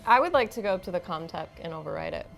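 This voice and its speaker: Woman, somewhat low pitch, blunt, argumentative, casual tabletop roleplay, faint music underneath. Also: lively intonation, very fast, creaky, fairly bright tone, poor audio. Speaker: player in a tabletop game. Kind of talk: casual in-character game chat.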